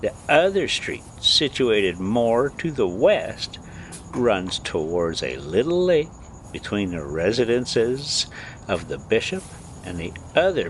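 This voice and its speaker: A man narrates a classic tale at a slow, deliberate pace. His pitch rises and falls in lively swings for dramatic emphasis, over a faint background noise. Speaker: storyteller reading a classic novel aloud. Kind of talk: scripted audiobook-style narration.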